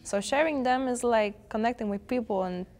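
A woman's voice: a little high, moderate pace, darkish tone. A teenage girl in an interview in a school theater, talking reflectively and searching for words. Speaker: student.

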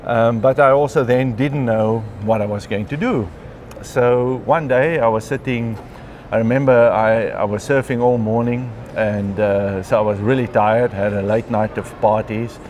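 A man in his fifties with a South African accent, telling his story in a relaxed conversational interview, recorded on a lapel mic in a large glass atrium. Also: mid-range voice, unhurried, few pauses, slight background noise. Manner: chatty, frequent ums and clears throat. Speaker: interviewee, mycologist.

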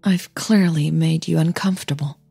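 A woman voicing a kind, sincere woman singer in an audio drama, soft, breathy and gently reassuring. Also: deep voice, fairly even intonation, moderate pace, soft background music, full character voice. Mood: gentle, reassuring.

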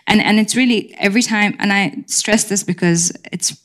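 A woman's voice: medium pitch, normal speed, fairly bright tone. A young woman on a panel in a university seminar room, telling her own settlement story in an earnest, frank and reflective way. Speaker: panelist sharing lived experience.

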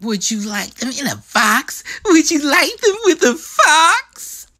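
A man's voice: extremely high pitch, big pitch swings, slow, sharp tone, pitched above the performer's own voice, heavily acted. A man voicing a small, eager storybook creature who coaxes and pesters insistently. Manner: chirpy, insistent coaxing.

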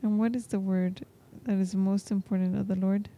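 A woman giving a live spoken translation of a homily as an interpreter, in halting phrases. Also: mid-range voice, fairly even intonation, warm tone. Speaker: interpreter voicing a priest's homily in English.

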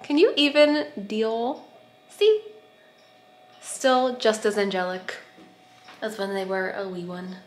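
A woman vlogger in her thirties talks casually and playfully at a mid pitch. The room echoes around her, and there is faint noise behind. She holds the camera at arm's length at home. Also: takes it slowly, pauses often. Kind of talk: casual vlog chat. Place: home kitchen and dining room, indoors. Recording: roomy echo, light background noise.

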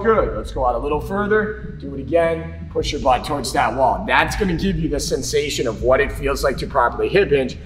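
Higher-voiced man coaching in an echoey gym. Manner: emphatic, informal coaching. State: slight chest cold. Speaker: fitness coach and vlogger. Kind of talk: instructional talk to camera. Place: roomy gym, indoors.

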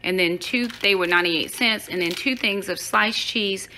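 A woman's voice: mid-range voice, normal speed, pushed and bright, slight background noise, poor audio. A woman in her thirties at home, chatting through a grocery haul and listing each item and its price. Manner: lists items and prices item by item, chatty asides. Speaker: vlogger, mom. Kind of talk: casual grocery haul chat. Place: home kitchen counter.

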